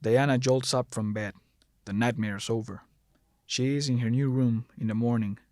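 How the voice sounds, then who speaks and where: A man's voice: medium pitch, steady tone, moderate pace, a little mellow, no echo. A man delivering scripted, dramatic narration for a horror audio drama, close on the mic.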